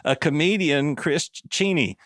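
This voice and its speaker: A man's voice: fairly high pitch, a little slow, a bit bright, dry recording, clean studio sound. A man in his thirties with a Southern accent, hosting a casual interview and telling chatty stories with acted-out quotes. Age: 30s. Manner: chatty storyteller, acts out quoted voices. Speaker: podcast host.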